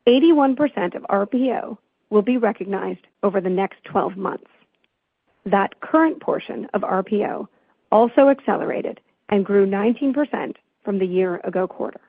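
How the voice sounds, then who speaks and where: A woman company finance executive reading a scripted earnings call presentation in a formal, measured manner. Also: medium pitch, breathy edge, no room sound. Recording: tinny phone-like conference call line.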